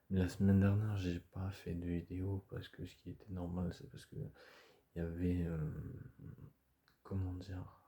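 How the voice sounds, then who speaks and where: A man talks casually to camera, hoarse and stuffy from a cold. His voice sits very low and he speaks slowly, stopping and restarting often. The chat wanders, full of fillers. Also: mellow. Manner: hesitant, rambling, many fillers and restarts. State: hoarse, stuffy-nosed, coughing. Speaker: video creator.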